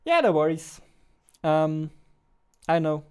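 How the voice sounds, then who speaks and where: A man, chatting casually on a livestream while he works, thinking aloud in a halting way. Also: a little high, very animated, a little mellow, smooth. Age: 20s. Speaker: game developer and streamer. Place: indoor room at a computer, keyboard clicks behind.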